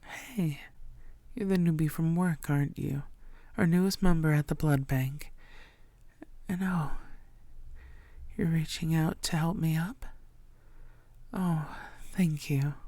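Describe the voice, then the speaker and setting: A woman playing an infatuated, predatory female vampire in an intimate audio roleplay, murmuring in a deliberate, theatrical and often breathy way. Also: sits low, dark tone, no echo, exaggerated voice. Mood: sweet, coaxing.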